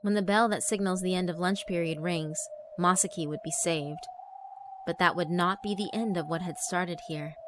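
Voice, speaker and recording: A woman narrating a horror visual novel in a steady, measured way. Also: on the low side, noisy background.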